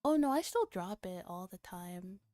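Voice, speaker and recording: Mellow-voiced giggly woman streamer. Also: fairly low pitch, expressive, moderate pace, dry recording, clean studio sound, soft background music. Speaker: VTuber streamer, host. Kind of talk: casual livestream chat, reading a visual novel aloud.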